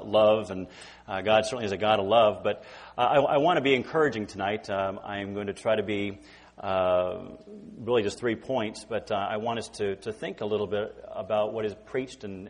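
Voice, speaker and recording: A man in his forties from California, preaching a sermon in a casual, halting way with many repeated words and fillers. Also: lowish voice, long reverb tail. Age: 40s. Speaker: guest preacher. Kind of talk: informal sermon. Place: church sanctuary.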